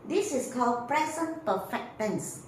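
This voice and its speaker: A woman's voice: a little high, animated, measured pace, roomy sound, a little hiss or hum. A woman with a Malaysian English accent teaching a grammar lesson, explaining step by step with many fillers and restarts. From northern Peninsular Malaysia. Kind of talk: recorded online grammar lesson. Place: classroom with a whiteboard.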